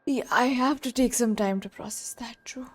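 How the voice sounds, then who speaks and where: A young woman streams a game and reacts casually as it plays. Her voice is on the high side. She comments in short, informal bursts. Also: normal speed.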